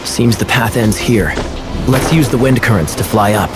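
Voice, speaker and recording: A man voicing a suave, self-assured young knight captain in a video game, smooth-talking, composed and teasing. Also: lowish voice, normal speed, lightly acted. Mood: casual, probing. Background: music.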